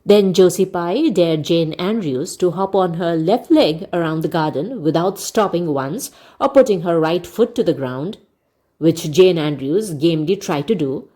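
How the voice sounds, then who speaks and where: A woman narrator reading a classic children's novel aloud in an expressive storybook style. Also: fairly low pitch, a little slow. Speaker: audiobook narrator.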